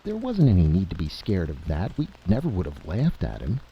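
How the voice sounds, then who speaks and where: A man voicing a gruff, slangy and stubbornly confident adventurer in a story reading. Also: low voice, wildly swooping pitch, a little fast, lo-fi sound, a little hiss or hum, exaggerated voice. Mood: confident, reassuring.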